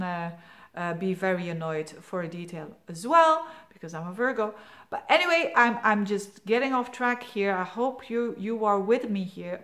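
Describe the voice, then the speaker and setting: A woman with a Flemish accent whose first language is Dutch gives a chatty, rambling horoscope talk as an astrologer, full of fillers and restarts. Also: mid-range voice. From Belgium. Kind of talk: casual monthly horoscope talk.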